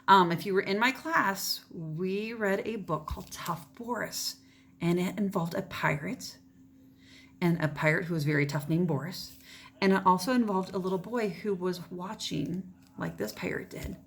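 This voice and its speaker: A woman's voice: on the low side, moderate pace, creaky, a little hiss or hum. A woman teacher reading a children's storybook aloud in a dramatic manner, often dropping toward a whisper.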